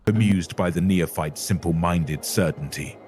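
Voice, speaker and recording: A man's voice: low voice, normal speed, a little mellow, slight background noise. A man reading scripted, dramatic narration for an audio story.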